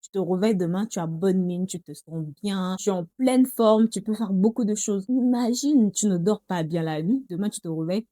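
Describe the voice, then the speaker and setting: A woman's voice: medium pitch, normal speed, hardly any pauses, dark tone, dry recording, studio quality. A young woman with a Congolese accent chatting to her viewers in a casual vlog, often calling them girls. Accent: Congolese French. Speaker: vlogger. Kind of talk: casual talk to camera.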